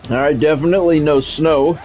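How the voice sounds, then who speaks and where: A man's voice: a little high, steady tone, a little fast, darkish tone, soft background music. A man giving casual live video game commentary with sportscast touches, talking conversationally and thinking aloud. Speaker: video game streamer and play-by-play announcer.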